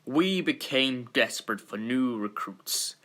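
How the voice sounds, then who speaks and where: An actor playing a stern, formal Time Lord president in a sci-fi audio drama, speaking with pompous deliberation and weighty pauses. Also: male voice, medium pitch, animated, sharp tone. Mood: grave.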